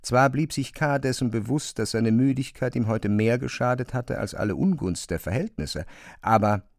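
Male narrator for a literary radio play: mid-pitched, creaky voice, very dry studio sound. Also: expressive, normal speed, no room sound.

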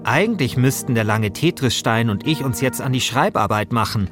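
A man's voice: medium pitch, animated, moderate pace. A man narrating a scripted first-person story as podcast host, in speech with a wry, dramatizing manner. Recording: music behind the voice. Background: music.

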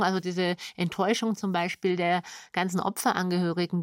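A woman speaks in a reflective interview manner. Her pitch sits in the middle range, and the recording is dry with almost no room sound. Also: no room sound. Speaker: radio drama maker.

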